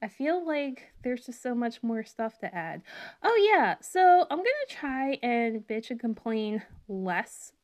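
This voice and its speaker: Slightly raspy young woman, chatty podcast host. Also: fairly high pitch, very animated, takes it slowly. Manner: chatty, rambling with many fillers. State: recovering from a cold, slight cough. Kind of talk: casual solo podcast monologue.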